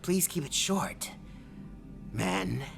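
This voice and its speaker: A man's voice: medium pitch, sing-song, drawn-out words, vocal fry, a bit bright, faint music behind, voice pushed up, exaggerated voice. A man voicing a goofy, greasy weasel of a desk attendant in a narrated story, awkward and fussy. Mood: fussy, instructing. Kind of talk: acted dialogue in a narrated story.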